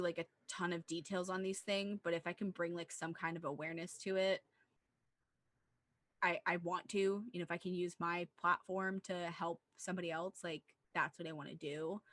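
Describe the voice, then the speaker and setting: A woman's voice: fairly low pitch, a bit bright, no echo. A woman co-hosting a casual movie discussion, talking in an earnest, rambling way with plenty of "like". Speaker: podcast co-host.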